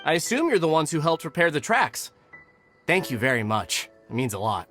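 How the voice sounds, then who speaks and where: Highish-voiced, harried game caretaker. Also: male voice, big pitch swings, moderate pace, a bit bright, faint music behind. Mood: earnest. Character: harried, testy but polite male caretaker. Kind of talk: animated video game voice acting.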